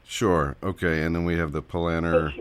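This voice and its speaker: Guy hosting a laid back chat, voice on the low side, bit of noise behind him. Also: little pitch movement, moderate pace. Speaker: host interviewing guests. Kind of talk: casual chat.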